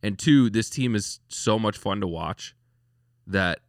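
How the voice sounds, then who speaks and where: Male podcast host, low voice, dry close sound, rambling casual sports talk. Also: expressive, slow pace, dry recording. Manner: rambling, lots of restarts and fillers, laughs easily.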